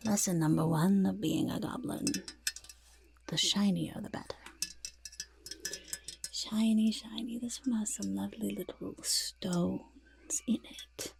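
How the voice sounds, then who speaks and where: A woman voicing a boastful, eager goblin in a soft-spoken ASMR roleplay, with a raspy half-whisper and fussy, repetitive phrasing. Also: mid-range voice, fairly even intonation, measured pace, long pauses, slight background noise. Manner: speaks of self in third person, fussy, emphatic repetition. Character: boastful, eager goblin potion-peddler of playful temper.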